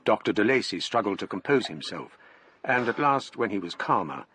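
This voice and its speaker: An actor playing the formal, measured English narrator of a gothic radio mystery. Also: male voice, on the low side, very animated, a bit bright, slightly rough, exaggerated voice. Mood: measured, ominous. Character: male narrator recounting a gothic mystery in first person. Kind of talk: scripted radio drama narration.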